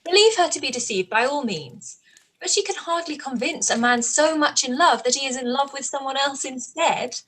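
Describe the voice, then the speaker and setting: A woman playing a spirited, outspoken young gentlewoman in a period radio play, with emphatic, expressive formal speech. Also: high voice, normal speed, rough recording. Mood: reassuring, insistent.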